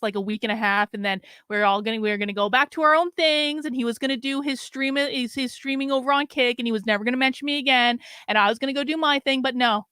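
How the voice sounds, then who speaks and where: Chatty woman host, slightly hoarse. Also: high-pitched, sharp tone, dry recording, clean studio sound. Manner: chatty, rambling, wry asides to the audience. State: losing her voice. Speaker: livestream host. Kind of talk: casual livestream chat.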